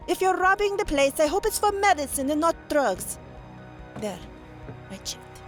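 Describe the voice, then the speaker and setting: A woman's voice: high-pitched, heavily acted. An actress playing a weary, underpaid night-shift woman grumbling in a sci-fi audio drama. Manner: grumbling, sighing. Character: weary, underpaid female night building worker. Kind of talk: science fiction audio drama acting.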